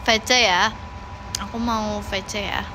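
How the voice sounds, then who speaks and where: A woman's voice: mid-range voice, steady tone, a little slow, background noise. A woman of nineteen, chatting casually and playfully on a livestream, often repeating words as she answers viewers. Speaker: idol livestream host.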